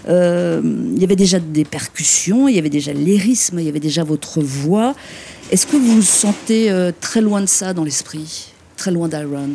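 A man hosting a radio interview, chatty and relaxed, with frequent fillers. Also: high-pitched, moderate pace, gravelly, slight background noise. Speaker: radio host. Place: outdoors in a city street.

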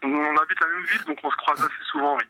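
A man's voice: on the high side, fast, vocal fry, background noise. A man in his thirties calling in to a radio show by phone, shy and hesitant. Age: 30s. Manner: shy, hesitant, soft-spoken. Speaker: radio caller, game contestant. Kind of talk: phone interview.

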